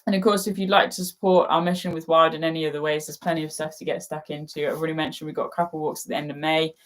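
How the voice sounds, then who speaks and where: Young English woman, low-pitched, chatty and laughing host for a live online session. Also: little pitch movement, racing. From England. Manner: giggly, chatty, laughs often. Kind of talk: casual live chat.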